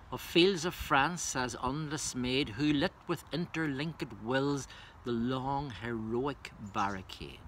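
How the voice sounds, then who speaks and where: A man with a bright, forceful voice at a middling pitch, presenting poetry in a measured manner. His delivery suits a reader addressing listeners with verse and commentary. A faint background noise runs under the recording. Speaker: reader introducing and reciting a poem. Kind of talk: informal spoken introduction and poetry recitation.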